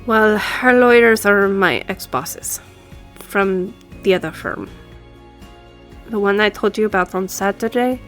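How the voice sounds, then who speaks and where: A woman's voice: mid-range voice, unhurried, a bit bright, faint music behind, close to an everyday voice. A woman playing a friendly, businesslike law firm boss in an audio roleplay, speaking conversationally to the listener. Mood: concerned, businesslike. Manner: businesslike, conversational, lightly teasing. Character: brisk, friendly female law firm boss giving instructions.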